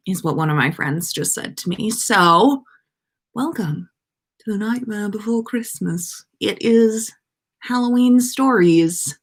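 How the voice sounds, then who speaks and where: An American woman hosting a casual livestream, chatting to viewers in a playful, rambling way. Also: fairly low pitch. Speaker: podcast livestream host.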